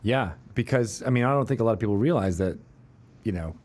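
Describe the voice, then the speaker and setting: A man's voice: fairly low pitch, animated, fast, darkish tone. A man hosting an interview show, chatting casually and thinking aloud with frequent asides. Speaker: talk show host.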